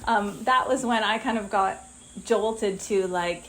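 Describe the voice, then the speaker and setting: A woman in her fifties teaching a live online masterclass, talking conversationally and turning lively when she acts out what people say. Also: medium pitch, measured pace, a little hiss or hum. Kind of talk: live online class talk.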